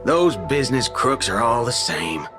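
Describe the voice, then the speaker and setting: Brash, drawling, lively gunslinger. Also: male voice, mid-range voice, unhurried, music in the background. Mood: grumbling. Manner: colloquial, gruff. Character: brash, folksy male cowboy gunslinger, grumbling and irreverent. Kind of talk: dubbed anime game dialogue.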